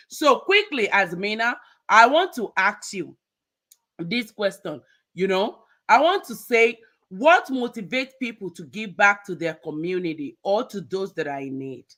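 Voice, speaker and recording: A woman hosting a live motivational talk show, energetic and upbeat, often ending phrases with "right?". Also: mid-range voice. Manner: energetic, repeats words, ends phrases with "right?". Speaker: host.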